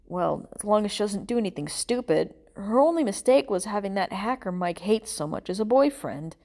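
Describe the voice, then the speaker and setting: A woman's voice: mid-range voice, animated, moderate pace, mellow, natural voice. A woman voicing a frightened but stubborn male captive in an audiobook story, pleading and nervously defiant.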